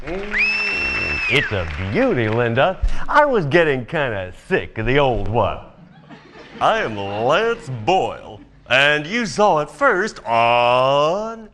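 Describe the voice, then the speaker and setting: An actor playing a smug, cynical, wisecracking host of a brutal futuristic game show in a video game. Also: male voice, on the high side, wildly swooping pitch, slow, a crowd in the background, noisy background, heavily acted. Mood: showy, sardonic. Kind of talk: over-the-top TV game show presenting.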